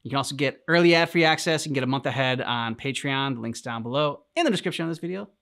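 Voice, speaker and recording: A man's voice: medium pitch, quick pace, a bit bright. A man co-hosting a reaction video, talking in a casual, conversational way. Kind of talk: casual chat.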